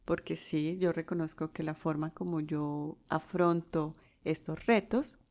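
A Colombian woman in her forties hosting her own podcast, talking unscripted with pauses and restarts. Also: sits low, vocal fry, darkish tone. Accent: Colombian Spanish. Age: 40s. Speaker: podcast host. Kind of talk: solo podcast monologue.

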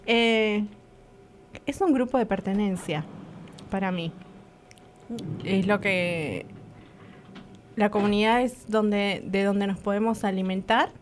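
A woman with an Argentine Spanish accent co-hosts a relaxed radio chat. She strings her thoughts together loosely, repeating words as she goes, and a faint hum of ambience sits behind her voice. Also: mid-range voice, slow, pauses often. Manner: uses Argentine slang, repeats words while searching. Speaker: co-host.

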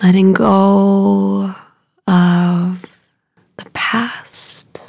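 A woman guiding a meditation in a soothing, hushed way, soft and breathy at the ends of phrases, with unhurried, drawn-out phrasing. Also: mid-range voice, little pitch movement, no room sound, studio quality. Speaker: meditation guide. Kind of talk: guided meditation.

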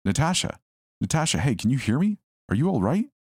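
A man's voice: mid-range voice, sing-song, fairly quick, husky, no room sound, studio quality, pitched above the performer's own voice. Man acting a frightened father, urgently calling out. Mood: urgent, alarmed. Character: frightened, worried father searching for his daughter.

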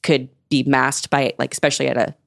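A woman's voice: rumbling low, flat delivery, fairly quick, vocal fry, dry recording, clean studio sound. A young woman in casual podcast chat, explaining her research at length with many "like"s. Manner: explanatory, uses "like" often. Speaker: guest researcher.

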